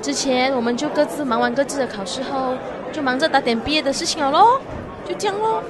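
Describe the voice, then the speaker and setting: Radio drama: feisty young Malaysian female graduate, played by a woman, high-pitched, music and crowd behind. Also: fast talker, close to an everyday voice. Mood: casual, evasive.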